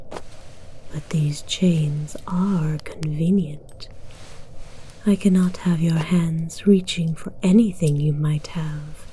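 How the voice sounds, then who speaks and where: A woman voicing an imperious, amused dragon queen in a breathy, teasing whisper for an ASMR roleplay, speaking in a regal, formal way. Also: low voice, mellow, slight background noise, low-quality recording.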